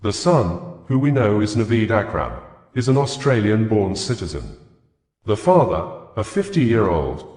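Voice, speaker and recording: A man narrates scripted news in a fairly low voice. The recording is roomy, with clear echo around his words. He reads formally written reports as a news reader. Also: big pitch swings, unhurried, darkish tone. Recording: echoey, roomy sound.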